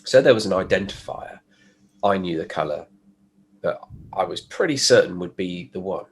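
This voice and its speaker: A man with a stuffy cold, explaining his work in a relaxed podcast chat with fillers and restarts. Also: low voice, normal speed, breathy edge. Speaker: guest, pickup designer. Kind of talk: casual podcast conversation.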